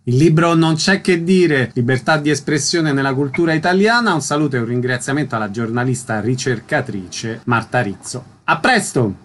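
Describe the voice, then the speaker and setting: A man's voice: mid-range voice, expressive, moderate pace, hardly any pauses, fairly bright tone. A man hosting book interviews, putting short prepared questions and introductions. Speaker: interviewer, host.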